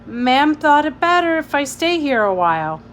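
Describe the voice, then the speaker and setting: A woman's voice: high-pitched, big pitch swings, moderate pace, a bit bright, pitched above the performer's own voice. A woman voicing a guarded young boy who is polite and respectful to his elders, in a storybook reading. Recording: noisy home recording.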